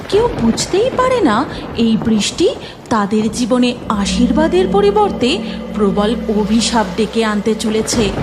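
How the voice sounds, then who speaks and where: A woman narrating a cartoon folk tale in an expressive, scripted storytelling style. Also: a little high, noisy background.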